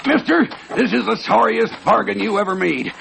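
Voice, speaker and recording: An actor playing a surly, threatening Old West outlaw with a gravelly voice and an American Western accent in an old radio drama. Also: male voice, high voice, moderate pace. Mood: belligerent. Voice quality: gravelly, tense. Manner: menacing drawling tough talk. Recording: old radio recording, crackly noise.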